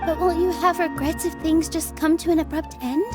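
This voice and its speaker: A young woman playing a gentle, earnest female dancer in a video game, speaking softly and sincerely. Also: very high voice, fairly even intonation, normal speed. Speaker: theater dancer. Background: game music.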